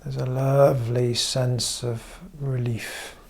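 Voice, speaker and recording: Male meditation guide, very creaky mid-pitched voice, unhurried gentle instruction with frequent pauses. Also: little pitch movement. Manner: measured, pausing often between short phrases. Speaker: therapist leading guided meditation.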